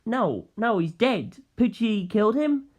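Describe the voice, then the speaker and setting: Narrator as an earnest, animated young male detective. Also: medium pitch, measured pace, voice pushed up. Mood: insistent, doubting. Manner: insistent, questioning.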